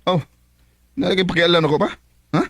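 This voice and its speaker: A man's voice: high voice, a little fast, no echo, clean studio sound. A man with a Visayan Filipino accent playing a bitter, emotional young son in a radio drama. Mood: bitter, defiant. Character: bitter, emotional young adult son, rebellious yet loving. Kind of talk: Bisaya radio drama acting.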